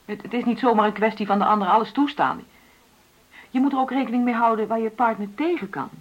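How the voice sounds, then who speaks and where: Jealous woman in her 40s, radio play, husky voice, direct and emotional. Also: fairly high pitch, fast, lo-fi sound. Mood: jealous, assertive. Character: jealous, frank forty-year-old woman negotiating with her partner. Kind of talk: naturalistic radio drama dialogue.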